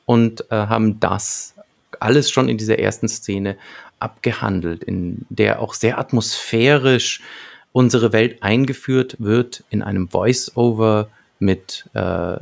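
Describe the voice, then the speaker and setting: Male co-host, thirties, creaky lower register, reflective and analytical, speaking close to a desk mic. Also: takes it slowly. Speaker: podcast co-host. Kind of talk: casual analytical discussion. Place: small book-lined home room, indoors, remote video call. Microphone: large desk microphone close up, with headphones.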